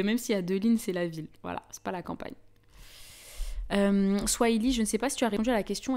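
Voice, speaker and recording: A young woman with a Parisian French accent hosts a casual live chat. She talks in an informal, slangy, chatty way at a middling pitch, addressing her viewers directly. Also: a little mellow. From France (Paris). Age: young adult. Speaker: livestream host.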